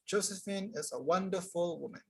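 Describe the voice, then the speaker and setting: A young man teaching an English grammar lesson live online, explaining casually and reading sentences aloud slowly. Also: high-pitched, creaky, fairly bright tone, husky. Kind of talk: live online tutorial reading chat answers.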